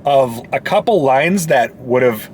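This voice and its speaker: A man's voice: mid-range voice, animated, a little slow, a little mellow, slight background noise. A man co-hosting a film review in casual, sweary chat, with a blunt, opinionated way of talking. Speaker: movie reviewer, co-host. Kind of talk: casual profane movie chat. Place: inside a car.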